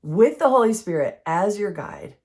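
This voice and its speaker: A woman with a froggy, hoarse voice from recent illness, giving an earnest, conversational faith-based health teaching. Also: mid-range voice, very animated, normal speed, a little mellow. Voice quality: froggy, strained voice.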